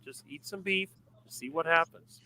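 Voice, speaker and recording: A man telling a story in an animated way on a casual group video call. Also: on the high side, a little slow, background noise.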